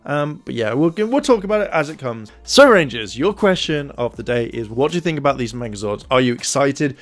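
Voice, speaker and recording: A man's voice: medium pitch, big pitch swings, fairly quick, faint music behind. A man in his thirties giving casual first-look commentary to camera in a hesitant, rambling way, recorded close on a handheld recorder in a small room. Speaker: YouTube toy reviewer. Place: small indoor room at home. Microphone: handheld recorder close to the mouth.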